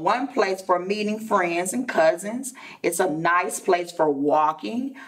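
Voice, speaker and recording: Slow-paced woman reading a storybook, off-mic. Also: lowish voice. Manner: deliberate, explanatory, repeating phrases for young listeners. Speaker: preschool teacher. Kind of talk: read-aloud storytime for young children with explanatory asides. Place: indoor classroom. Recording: distant microphone.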